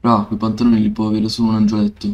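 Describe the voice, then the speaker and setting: A man with a medium, fry-laced voice. He chats casually over gameplay, needling people with slangy mock reproaches. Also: medium pitch, very animated, very fast, darkish tone, dull audio. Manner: teasing, mock-scolding, slangy. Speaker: streamer playing and trolling on a roleplay server. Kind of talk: casual gameplay banter, trolling.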